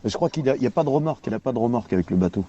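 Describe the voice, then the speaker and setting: A man's voice: mid-range voice, expressive, racing, dark tone. Jeering man over crackly CB radio. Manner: jeering, laughing at his own jokes. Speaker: CB radio user. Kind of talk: taunting radio chatter. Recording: dull lo-fi CB radio with hiss.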